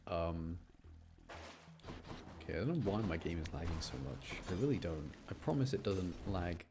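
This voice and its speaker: A man's voice: sits low, animated, moderate pace, background noise. A man giving casual, chatty live commentary as a game streamer, often explaining things and interrupting himself. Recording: music behind the voice. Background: music.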